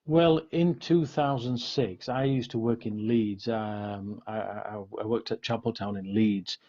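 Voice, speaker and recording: A middle-aged Yorkshireman talks earnestly as an interview guest. His voice is soft-edged and mellow, with a husky grain. The sound is dull and lo-fi. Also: fairly low pitch, steady tone, measured pace. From Yorkshire, England. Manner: frequent "you know" and "sort of". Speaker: interview guest, police officer.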